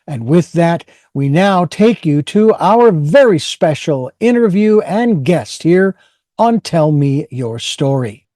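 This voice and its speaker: Mellow, fairly high-voiced male host. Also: animated, takes it slowly, dry recording, clean studio sound. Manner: rambling with many fillers and restarts. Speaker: podcast host. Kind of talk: hosting an interview show.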